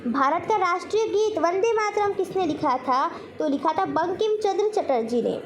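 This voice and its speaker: A woman's voice: very high-pitched, animated, normal speed. A woman teacher giving a general knowledge lesson, posing questions and then answering them herself in an instructional way. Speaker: quiz teacher.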